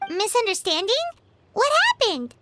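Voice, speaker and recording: A woman voicing a small, childlike fairy companion in a video game, squeaky, excitable, proud and blunt. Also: moderate pace, very noisy. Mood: surprised. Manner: speaks of self in third person, exclamatory. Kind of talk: animated video game voice acting.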